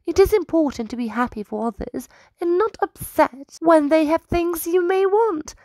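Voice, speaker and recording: Woman voicing a high-pitched, earnest little squirrel scribe. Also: lively intonation, normal speed, warm tone, dry recording. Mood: concerned, earnest. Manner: proclaiming, earnest, preachy. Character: squirrel royal scribe, small, bold, earnest and moralizing. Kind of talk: children's storybook read-aloud.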